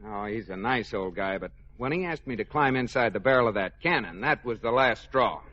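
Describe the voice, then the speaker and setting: An actor playing an exasperated but affectionate husband in a mid-century radio comedy, incredulous and animated. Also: male voice, lowish voice, fairly quick. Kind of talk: 1940s-50s radio comedy acting. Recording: very noisy, muffled old-time radio recording.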